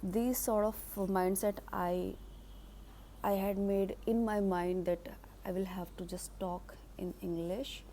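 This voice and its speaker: Indian woman teaching english, slow n halting, lots of uh's, soft mellow voice. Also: mid-range voice, fairly even intonation, slight background noise. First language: Hindi. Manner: halting, many fillers and restarts. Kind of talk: unscripted spoken lesson.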